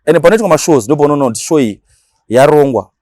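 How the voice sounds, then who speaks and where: Very fast-talking Zimbabwean man, slangy and animated. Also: a little high, no room sound, clean studio sound. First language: Shona. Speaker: interview guest, dancehall DJ. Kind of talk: casual interview talk mixing Shona and English.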